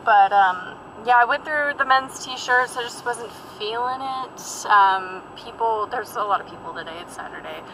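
A woman's voice: a little high, normal speed, hardly any pauses. A young woman vlogging from inside her car, rambling casually through her errands with lots of fillers and asides. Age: young adult. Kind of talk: casual vlog chat while driving. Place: inside a parked and moving car. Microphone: camera on the dashboard. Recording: car interior with road and engine noise.

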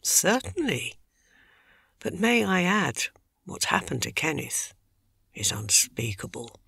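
A woman playing a loyal, flustered older village housekeeper with a raspy, creaky voice, in a podcast drama. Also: low voice, big pitch swings, slow pace, fairly bright tone, no echo, clean studio sound, heavily acted. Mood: earnest.

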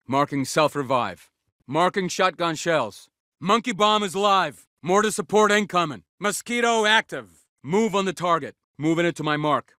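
Battle-ready soldier, video game callouts, clipped and commanding, sharp tense tone, dry studio sound. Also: male voice, a little high, dry recording. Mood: brisk, urgent. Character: tough, battle-ready male special forces soldier. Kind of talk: military shooter game callouts.

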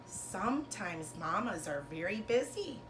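A woman in her thirties, a teacher reading a children's storybook aloud to pupils with expressive, sing-song phrasing and emphasized words. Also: mid-range voice, measured pace, background noise. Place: bedroom, indoors. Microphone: camera a couple of metres away.

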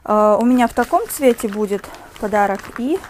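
A young woman with a slight cold, chatting casually to camera as a beauty vlogger in a small room on a lapel mic, with lots of fillers and soft diminutives. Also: a little high, lively intonation, moderate pace, slight background noise.

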